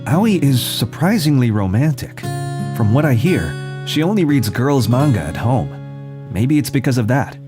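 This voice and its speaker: A man's voice: mid-range voice, sing-song, normal speed, natural voice. A man voicing an earnest teenage boy, a secret bodyguard posing as a meek student, in a manga dub. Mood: earnest, reflective. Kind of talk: anime-style manga dub, acted dialogue and narration. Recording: music behind the voice.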